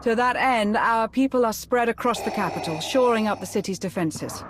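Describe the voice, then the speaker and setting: A woman's voice: fairly high pitch, moderate pace, noisy background, close to an everyday voice. A woman voicing a composed, slyly menacing Sith lord in a video game, cool, formal and articulate. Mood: businesslike.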